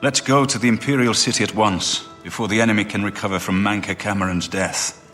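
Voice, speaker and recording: A man playing a solemn, resolute royal heir in a video game, speaking in an earnest, measured, formal way. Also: lowish voice, fairly even intonation, over music, natural voice. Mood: grave, determined. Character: solemn, resolute male heir to an imperial throne. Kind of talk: video game dramatic dialogue.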